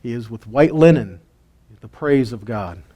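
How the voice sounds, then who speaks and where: A man's voice: mid-range voice, lively intonation, unhurried, mellow. A middle-aged man teaches scripture in a folksy way, asking his listeners questions and chuckling often. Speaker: Bible teacher. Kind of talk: casual Bible teaching.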